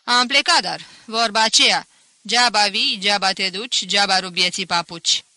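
A woman voicing a boisterous, folksy male mountain shepherd in an audiobook, loud and exclamatory. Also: mid-range voice, no room sound. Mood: rueful, boisterous. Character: hearty, boisterous male mountain shepherd, robbed but unbowed. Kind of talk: audiobook character acting.

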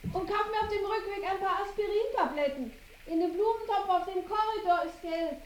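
A woman's voice: extremely high pitch, moderate pace. An actress playing a nagging mother who bosses her son around in a radio play. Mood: brisk, bossy. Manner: scolding, bossy. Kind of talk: German radio play acting. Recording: roomy, distant, clear sizzling noise.

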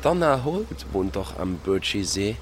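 A man's voice: fairly low pitch, very animated, unhurried, a little hiss or hum. An actor playing a teasing, insistent village man of thirty in a radio drama, pushily friendly. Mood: sly, amused. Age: 30s.

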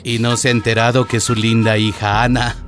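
A man's voice: medium pitch, level tone, fairly quick, fairly bright tone, a little hiss or hum, close to an everyday voice. An actor playing a condescending, pompous male mayor with a Latin American Spanish accent in a radio drama. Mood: taunting, menacing. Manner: mocking, chuckling, pompous. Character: smug, condescending, corrupt small-town mayor.

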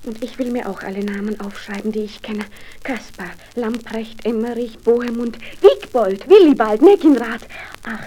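High-voiced anxious young queen, played by a woman. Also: moderate pace, dark tone, natural voice. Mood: anxious, urgent. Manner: emotive, pleading, theatrical. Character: young fairy-tale queen, anxious loving mother. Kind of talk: German fairy-tale radio play acting.

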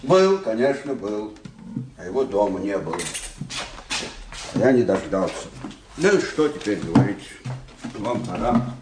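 An actor playing a proud, hurt old army staff captain in a radio play, gruff, brusque and bitter. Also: male voice, fairly low pitch, very animated, a little slow, long pauses. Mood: sullen. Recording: noisy old radio drama recording.

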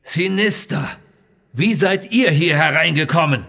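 A man's voice: a little high, measured pace, gravelly, sharp tone, voice pushed up, heavily acted. A man voicing a plucky young lady explorer in an audio adventure, eager and concerned. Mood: alarmed.

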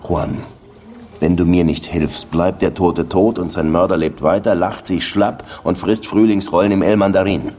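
An actor playing a world-weary, dogged police detective who also narrates, in a radio drama, with a measured, dry delivery. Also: male voice, low voice, steady tone, darkish tone, slightly rough. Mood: insistent. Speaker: lead detective and first-person narrator.

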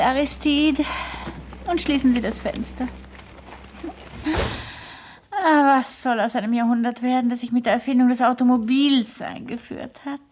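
A woman's voice: fairly high pitch, gravelly, a little mellow. An actress playing a haughty elderly society lady in a radio play, imperious and affected, with theatrical languor. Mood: languishing, nostalgic. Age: older. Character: grand, haughty old Parisian society lady with migraine. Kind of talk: 1970s radio play acting.

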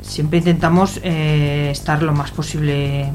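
A woman with an accent from Spain speaking as an activist guest in an informal radio interview, haltingly searching for words. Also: low-pitched, steady tone, a little mellow, faint music behind. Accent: Spanish from Spain.

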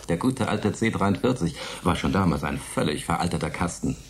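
An actor playing a sardonic, grumbling forty-year-old retired space pilot and bickering husband in a German science fiction radio play. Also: male voice, low voice, animated, normal speed, noisy background. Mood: gruff, nostalgic. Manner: mocking, grumbling, declamatory.

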